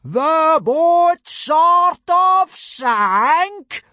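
A furious Viking drill master for a storybook. He roars high and strained, stretching his words slowly with booming scorn and outrage. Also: lively intonation, no room sound, full character voice. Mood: furious, outraged. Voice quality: booming, roaring. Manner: scornful, drawn-out outraged shouting. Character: huge furious bellowing Viking pirate instructor, adult man. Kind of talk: audiobook character voice.

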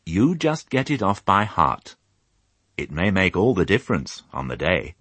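Mother sheepdog voiced by a man, gentle, coaxing, lively pitch, dry sound. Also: a little slow, no echo, heavily acted. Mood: tender.

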